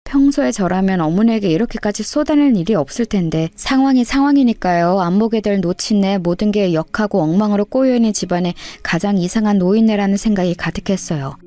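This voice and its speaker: A woman's voice: medium pitch, fairly quick, hardly any pauses, dark tone, no room sound. A woman giving scripted first-person narration of a personal story in radio-story style. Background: music.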